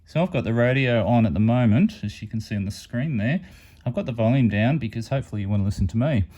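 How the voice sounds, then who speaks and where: A man's voice: medium pitch, expressive, fast, warm tone. A man giving an informal, unscripted step-by-step product demonstration as a hobby reviewer.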